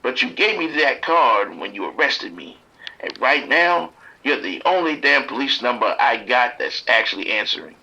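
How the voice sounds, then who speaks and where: An actor playing a streetwise pimp trapped in a motel bathroom, blunt and grudging with the police. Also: male voice, mid-range voice, big pitch swings, moderate pace, vocal fry, sharp tone, slightly rough, muffled recording, heavily acted. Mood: bitter. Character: streetwise male pimp hiding from attackers, bitter toward police.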